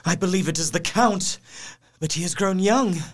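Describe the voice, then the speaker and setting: An actor playing a frightened, frail husband in an audio drama, speaking haltingly and repeating himself. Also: male voice, high-pitched. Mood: terrified. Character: frightened, frail English husband, haunted and dazed.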